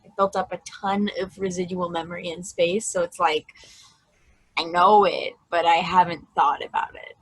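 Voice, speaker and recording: Woman acting a shy, husky-voiced young space probe. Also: fairly low pitch, fairly even intonation, no room sound, rough recording. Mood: shy, earnest. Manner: halting, hesitant, earnest. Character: newly awakened female space probe, shy, awkward, childlike curiosity. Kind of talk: stageplay table read.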